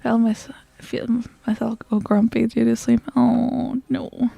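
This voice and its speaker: A woman hosts a relaxed livestream in a soft ASMR style. Her voice is fairly high yet mellow, with a noticeable creak. She talks casually and gently, sometimes near a whisper, close to the microphone. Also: takes it slowly, dry recording. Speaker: livestreamer greeting chat and hosting a game stream.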